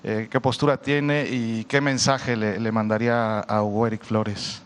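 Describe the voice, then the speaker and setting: A man's voice: fairly low pitch, level tone, unhurried, clear, resonant tone. Male reporter asking a long question. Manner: hesitant, with fillers. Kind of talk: press conference question.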